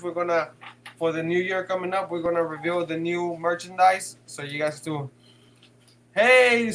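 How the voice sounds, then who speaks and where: A man's voice: high voice, a bit bright, a little hiss or hum. A man hosting a live stream, casually reading chat comments, slangy and swearing, laughing often. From San Francisco Bay Area.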